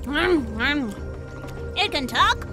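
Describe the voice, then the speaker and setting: A woman's voice: high voice, expressive, drawn-out words, music in the background, exaggerated voice. A woman voicing a blunt, greedy little furry horned boy in a fantasy audio drama, with a childish, tattling delivery. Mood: incredulous.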